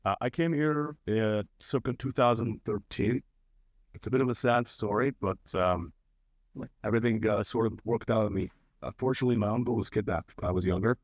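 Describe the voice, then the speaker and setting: Halting young man telling his story. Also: fairly low pitch, dry recording. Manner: halting, many fillers and restarts. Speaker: panelist.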